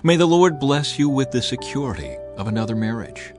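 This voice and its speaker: A man's voice: medium pitch, lively intonation, a little fast, music in the background, voice pushed up, close to an everyday voice. A man voicing a bitter, grieving older widow in a storybook-style scripture reading, pleading earnestly. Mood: tender, resigned.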